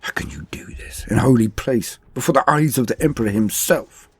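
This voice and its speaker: A man's voice: mid-range voice, lively intonation, brisk, vocal fry, slightly rough, dry recording, soft background music, voice pushed up. A man voicing an anguished, devout acolyte in a war story, intense and indignant. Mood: anguished pleading.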